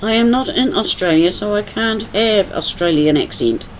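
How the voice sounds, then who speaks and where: A woman's voice: mid-range voice, expressive, moderate pace, fairly bright tone, slight background noise, poor audio. Chatty older New Zealand woman streaming. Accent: New Zealand with some English. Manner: chatty, teasing. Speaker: livestream host. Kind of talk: casual chat while gaming.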